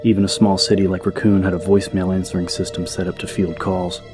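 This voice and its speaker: A man reads dramatic audiobook narration in a low voice. His pitch hardly moves at all, and music plays behind him. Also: level tone, fast.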